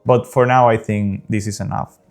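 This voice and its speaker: Earnest low-voiced man, Spanish accent. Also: steady tone, darkish tone. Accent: South American Spanish. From South America. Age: early 30s. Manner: earnest, unpolished, frequent pauses. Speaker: gaming channel host. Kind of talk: casual talk over gameplay.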